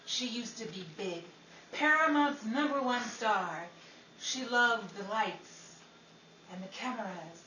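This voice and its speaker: A woman playing an excitable, aggrieved 1950s Hollywood actress, theatrical and emphatic, in the style of an old-time radio comedy. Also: a little high, roomy sound, recorded from a distance, background noise. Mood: dramatic pitching.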